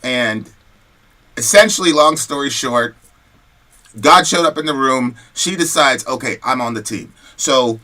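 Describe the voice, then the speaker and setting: A man giving casual, slangy commentary and telling personal stories in an animated, conversational way, as the host of a show. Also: medium pitch, a little slow.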